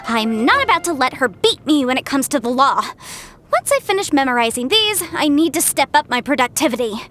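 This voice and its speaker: Video game casting: bright, bookish young legal adviser, high-pitched, very expressive swings, confident and chatty. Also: female voice, fairly quick, a little hiss or hum. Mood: determined. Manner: articulate, expressive, self-assured, slightly bookish. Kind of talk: scripted game character voice lines.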